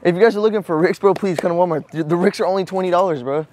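A man's voice: high-pitched, racing, a little mellow, no room sound. A young American man bantering casually in a slangy, profane way as he pulls pranks in public. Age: young adult. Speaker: prankster, video host. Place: large indoor supermarket. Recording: noisy store ambience, camera microphone.

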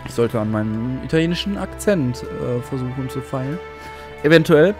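A man giving let's play commentary on a flight game, excitable, swearing often and talking to himself. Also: mid-range voice, moderate pace. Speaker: gaming channel host. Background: game music.

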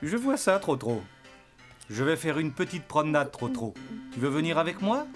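A kindly donkey father from a children's cartoon. His gentle, easygoing voice rises and falls in lively, affectionate swings. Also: on the high side, normal speed, faint music behind.

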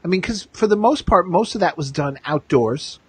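A man hosting a podcast in casual chat, enthusiastic, halting and circling back over his points. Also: sits high, expressive, slightly rough, no echo. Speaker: podcast host.

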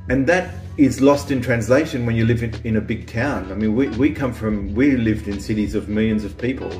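A man's voice: medium pitch, fairly quick, a little mellow, soft background music. Rambling Australian-raised man, echoey room. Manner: rambling, many restarts and ums. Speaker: vlog host. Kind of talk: casual chat.

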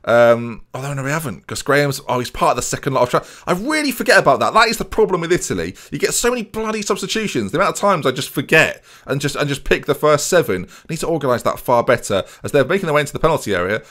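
A man's voice: fairly high pitch, very animated, fast talker, few pauses, fairly bright tone. A young man commentating on a football management game from a small room at home, with a headset mic, chatty and jokey. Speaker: gaming streamer.

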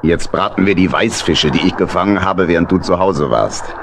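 An actor playing a kindly, easygoing badger boat captain in a children's audio play, with a gravelly voice and a hesitant, reassuring manner. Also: male voice, low-pitched, normal speed, background noise. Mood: practical.

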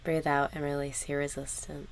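Female meditation guide: unhurried, even delivery with gravelly fry, ambient noise underneath. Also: sits low. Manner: measured, instructional, with long pauses. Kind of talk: slow, scripted guided meditation.

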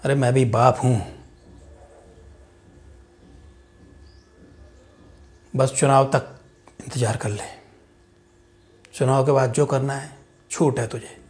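A man's voice: steady tone, normal speed, close to an everyday voice. Man playing a proud, ruthless politician father. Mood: menacing. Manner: booming and jovial with party workers, dropping to a hushed, cold undertone with family. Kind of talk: dramatic audition monologue.

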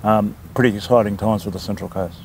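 An older Australian man with a low, gravelly voice, talking at length and easily, often pausing on an um. He speaks in a relaxed, conversational interview. A faint hiss of outdoor background noise sits behind him. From New South Wales, Australia. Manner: talkative, unhurried, with frequent ums. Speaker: land council chairman, interview guest. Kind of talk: casual interview.

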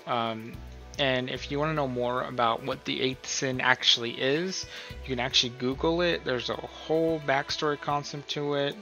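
A man's voice: medium pitch, fairly even intonation, normal speed, pushed and bright, soft background music. A man hosting a casual screen-recorded tutorial, explaining things in an informal, rambling way. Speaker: host of a role-play tutorial.

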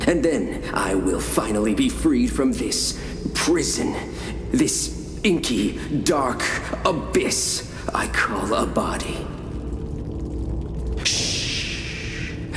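A fanatical inky cult prophet from a horror game. He chants slowly and menacingly, breathy whispers swelling into shouts, pitch swooping. His words ring in an echoing space. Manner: theatrical, slow, preacher-like chanting. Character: deranged ink-bodied male cult prophet, fervent and menacing. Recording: echoey, music and ambient noise behind.

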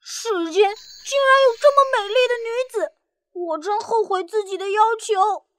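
A woman's voice: very high voice, normal speed, fairly bright tone, no echo, voice pushed up. A woman voicing a vain, conceited young prince who boasts about himself in a children's cartoon story. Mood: flustered. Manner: boastful, self-admiring.

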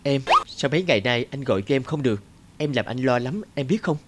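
An actor voicing a devoted, protective young fiancé in an animated drama, earnest, emphatic and tender. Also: male voice, mid-range voice, brisk, natural voice. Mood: tender, concerned. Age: young adult.

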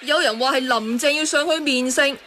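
An opinionated woman in a Hong Kong Cantonese radio drama. Her voice is high and sharp, her delivery knowing and emphatic. Some noise sits behind it. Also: moderate pace. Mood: knowing, indignant. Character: opinionated, know-it-all woman chatting about politics.

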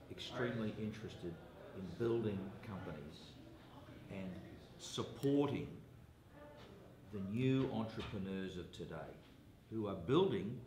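Man, New Zealand accent, very low voice, faint background hiss, measured. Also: darkish tone. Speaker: investor guest. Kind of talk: radio interview answers. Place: hotel meeting room by windows. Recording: roomy with faint ambient noise.

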